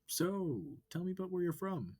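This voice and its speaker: A man's voice: high-pitched, very animated, normal speed, a little mellow, pitched above the performer's own voice. A man voicing a curious, eager alien wolf man from a visual novel, with hesitant, questioning delivery. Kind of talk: visual novel character reading.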